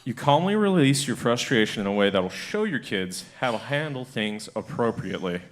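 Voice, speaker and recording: Wry, lively male narrator. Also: mid-range voice, moderate pace, a little hiss or hum. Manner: playful, wry, storytelling. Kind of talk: second-person comic radio drama narration.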